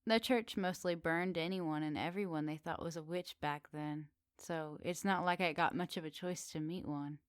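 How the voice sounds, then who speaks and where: A woman playing a flirty, teasing witch in a soft, intimate ASMR roleplay. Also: low-pitched, fairly even intonation, no room sound, clean studio sound, lightly acted. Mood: calm, coaxing. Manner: soft, teasing, giggly, unhurried. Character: teasing, flirty, lonely witch in a woodland cottage.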